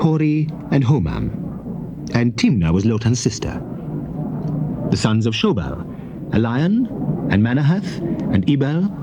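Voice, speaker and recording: A man narrating scripture as a scripted dramatic reading, reciting deliberately in short, measured phrases on an old cassette recording. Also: mid-range voice. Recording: noisy old cassette tape transfer.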